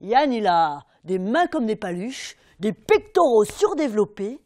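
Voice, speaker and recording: A woman's voice: mid-range voice, very animated, measured pace. A woman performing as a dramatic fairy-tale narrator on stage, emphatic and vivid, with swings in intensity. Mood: dramatic, suspenseful. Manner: emphatic, swinging from hushed to loud. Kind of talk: theatrical stage storytelling.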